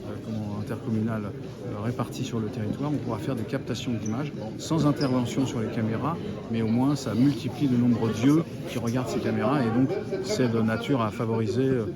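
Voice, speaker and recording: A man giving explanatory interview answers as a local official, with hesitant pauses. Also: mid-range voice, steady tone. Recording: loud crowd chatter around the voice. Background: busy crowd chatter.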